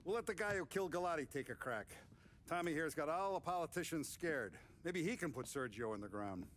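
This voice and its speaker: An actor playing a calm, commanding male mob boss who gives firm, measured orders in a game cutscene. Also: a little high, slight background noise. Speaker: crime boss.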